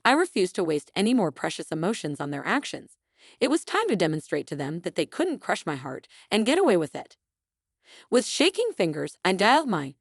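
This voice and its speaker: Woman, story narrator, slightly low voice, animated intonation, theatrical delivery, scripted drama. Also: no room sound, clean studio sound.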